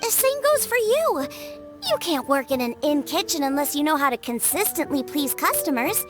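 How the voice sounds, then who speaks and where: A woman voicing a perky, earnest teenage girl chef in a video game, bubbly and expressive. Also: high-pitched, normal speed, over music. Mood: earnest, warm.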